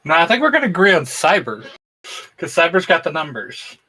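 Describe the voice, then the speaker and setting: A man co-hosting a casual chat, joking, swearing and thinking out loud. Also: on the high side, big pitch swings, a little fast, breathy edge. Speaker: co-host. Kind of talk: casual chat among friends.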